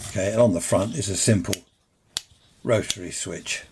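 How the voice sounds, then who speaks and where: A man's voice: on the low side, normal speed, a little mellow, slight background noise. A British man giving a casual, chatty explanation, often asking himself questions and answering them. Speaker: amateur radio video presenter. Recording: slightly distant microphone.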